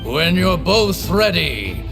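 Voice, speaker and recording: A man's voice: high-pitched, big pitch swings, slow pace, vocal fry, heavily acted. A man voicing a solemn elder who presides over a ritual duel in a video game, speaking in a stately, ceremonial way. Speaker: ceremony master. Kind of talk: formal dramatic game voice acting. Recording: long hall reverb over music.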